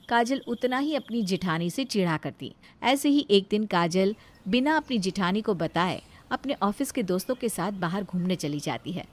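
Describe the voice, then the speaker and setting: A woman reading a scripted moral family story as the storyteller. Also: medium pitch.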